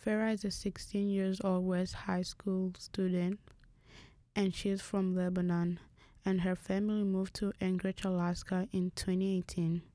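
A woman's voice: mid-range voice, level tone, moderate pace, warm tone. A teenage girl interviewing a fellow student for a school podcast, asking short, plain questions. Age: teens.

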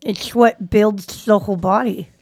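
A woman's voice: medium pitch, steady tone, a little slow, darkish tone, breathy edge, no echo. A woman co-hosting a casual podcast with short, joking remarks. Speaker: podcast co-host. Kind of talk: casual chat.

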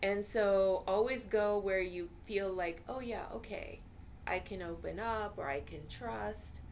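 A woman's voice: medium pitch, measured pace, darkish tone, slight background noise. A woman therapist whose first language is Urdu, talking earnestly in a casual interview and often saying "you know.".

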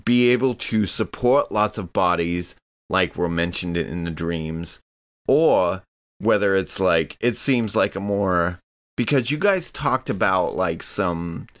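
A man's voice: low voice, animated, slow pace, fairly bright tone. A man casually narrating his character's actions in a tabletop game, in a loose, rambling way.

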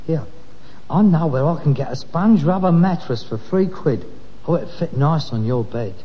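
A man's voice: on the high side, animated, normal speed, close to an everyday voice. Man acting a wry son, echoey recording. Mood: placating. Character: middle-aged son of rag-and-bone man, wry, placating. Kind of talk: 1960s British radio sitcom acting. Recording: muffled, reverberant old radio recording.

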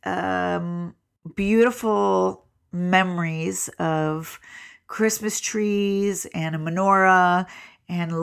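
A woman's voice: on the low side, creaky, smooth. A middle-aged woman with a stuffy nose, co-hosting a relationship show in casual, chatty talk. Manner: chatty, laughs easily. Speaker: co-host. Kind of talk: casual chat.

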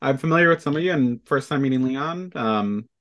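A man from Canada guesting on a podcast, joking in a deadpan way. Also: medium pitch, brisk. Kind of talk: casual chat.

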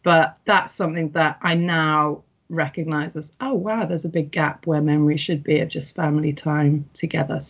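Middle-aged woman, fairly low voice, reflective and chatty, muffled dull recording. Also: steady tone, moderate pace, vocal fry, few pauses, breathy edge. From North West England. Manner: chatty, reflective, with frequent ums and restarts. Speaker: interviewee. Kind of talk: personal interview.

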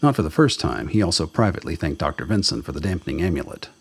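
A man's voice: rumbling low, very animated, quick pace, creaky, breathy edge. A man reading scripted narration for a radio drama.

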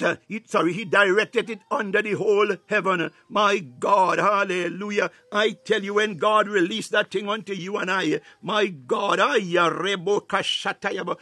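A man's voice: high-pitched, sharp tone, dry recording. A man preaching a fervent charismatic sermon and prayer, breaking his phrases with repeated exclamations.

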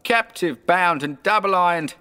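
A man's voice: sits high, measured pace, bright, forceful tone, smooth, long reverb tail, voice pushed up. A man voicing a tormented, remorseful ghost in a storybook reading, with grave, wailing declamation. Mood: anguished, wailing. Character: tormented male ghost, mournful, remorseful and foreboding.

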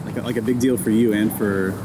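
A man interviewing a guest, asking encouraging, loosely hedged questions in a relaxed conversational way. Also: on the low side, fast talker. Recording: noisy background.

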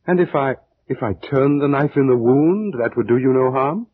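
An actor playing an eager, easily awed ancient Egyptian priest in theatrical 1940s radio drama style. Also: male voice, mid-range voice, moderate pace, breathy edge, natural voice. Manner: theatrical, exclamatory. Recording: noisy tinny 1940s radio recording.

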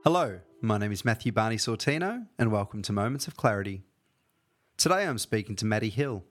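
Male podcast host, mid-pitched voice, scripted intro then friendly short questions. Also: a bit bright.

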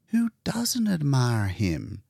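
A man reading a storybook puts on a humble, folksy young-sounding woman. The voice is raised and husky, with lively swings in pitch. She comes across as shy and sincere. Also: slow, no echo, clean studio sound, heavily acted. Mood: admiring, demure. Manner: shy, plain-spoken, folksy. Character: demure, humble, earnest woman working in a death service.

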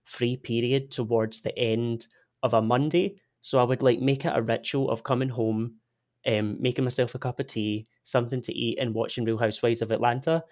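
A man's voice: medium pitch, fairly even intonation, normal speed, a little mellow. A Scottish man chatting casually as a podcast guest, self-deprecating and given to playful exaggeration. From Scotland. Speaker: podcast guest, meme account creator. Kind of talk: casual chat.

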